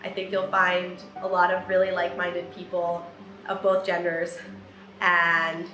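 A woman's voice: on the low side, monotone, a little slow, highs cut off, a little hiss or hum. A young woman around twenty, an engineering student, talking to camera in a chatty way with ums and restarts in a room by a large window. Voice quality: loud voice. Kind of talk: reflective talk to camera. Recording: roomy with background music.

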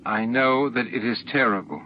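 A man voicing a gentle, affectionate and sorrowful young female alien in an audiobook, speaking formally. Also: medium pitch, moderate pace, close to an everyday voice. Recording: dull noisy audiobook recording.

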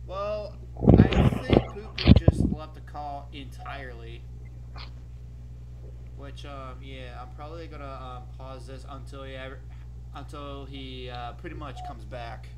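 A man chatting casually in a halting, repetitive way while recording a group video call. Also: medium pitch. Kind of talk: casual chat. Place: indoors at a computer on a video call. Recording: noisy video call with clicks.

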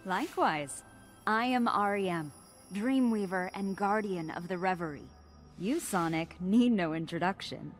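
A woman voicing a solemn, dignified mystical sheep guardian in a video game, formal and measured. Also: medium pitch, lively intonation, lightly acted. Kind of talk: animated video game cutscene acting. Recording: music under the voice.

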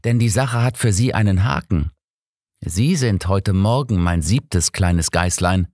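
A man's voice: deep voice, measured pace, darkish tone, no room sound, clean studio sound. A man voicing a bitter, mockingly cheerful killer in an audiobook, theatrical and taunting. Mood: mocking, menacing. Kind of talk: audiobook character acting.

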